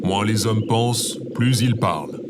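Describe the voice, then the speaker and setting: A sullen pet cat with an exceptionally deep voice for a female. It delivers maxims in a solemn deadpan, as in a radio play. Loud ambient noise sits behind it. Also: unhurried. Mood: deadpan, gloomy. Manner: deadpan, sententious. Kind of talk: scripted audio drama acting.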